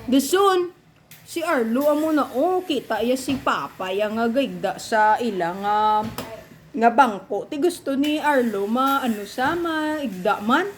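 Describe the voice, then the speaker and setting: A woman telling an improvised story to children in a playful, expressive, informal way. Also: sits high, unhurried, a little hiss or hum.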